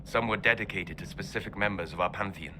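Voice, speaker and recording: An actor playing a calm, learned male elf mage who speaks in a measured, scholarly way in a video game cutscene. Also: deep voice, background noise, lightly acted. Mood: grave, measured. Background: game score music.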